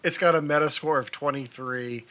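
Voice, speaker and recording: A man's voice: fairly high pitch, steady tone, moderate pace, pushed and bright. A man co-hosting a podcast, talking casually about films in a wry, teasing way. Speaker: podcast co-host. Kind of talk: casual film discussion.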